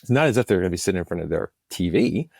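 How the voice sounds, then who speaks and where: A man with a fairly low voice talks through his work as an interview guest. The sound is dry and close, and his delivery is conversational, with phrases that he often restarts. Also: expressive, very fast, vocal fry, darkish tone, no echo.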